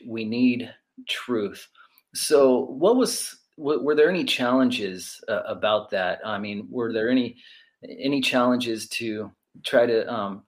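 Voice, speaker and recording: A man in his forties hosting a faith interview, speaking emphatically with fillers and restarts. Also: mid-range voice. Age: 40s. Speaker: host. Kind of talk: conversational interview.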